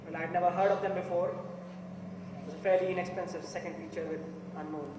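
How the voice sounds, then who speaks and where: A man's voice: sits high, steady tone, fairly quick, lightly acted. An amateur actor, a man playing a composed, argumentative juror in a stage play. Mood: recalling, hesitant. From India. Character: composed, argumentative male juror pressing his case. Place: indoor theatre stage, recorded from far off. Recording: distant echoey hall recording, noisy.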